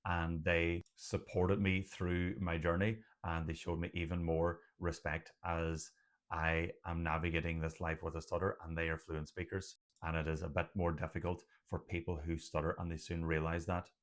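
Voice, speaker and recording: A man's voice: sits low, flat delivery, moderate pace. A young Irish man, a doctor who stutters, talking openly to camera about his experience, with blocks and repeated sounds. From Ireland. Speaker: doctor and channel host. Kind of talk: personal talk to camera.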